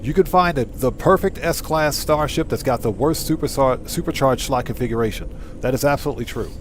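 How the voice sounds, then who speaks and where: A man's voice: mid-range voice, brisk, a little hiss or hum. A man giving casual live game commentary, thinking aloud step by step and chatting with his viewers. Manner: talks to himself step by step and addresses chat. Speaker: game streamer, host.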